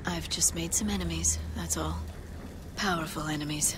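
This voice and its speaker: Woman playing a low-voiced, flirty cat burglar. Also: fairly even intonation, normal speed, very noisy, lightly acted. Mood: coy, confident. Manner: teasing, purring, self-assured. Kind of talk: video game voice acting. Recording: loud music behind the voice.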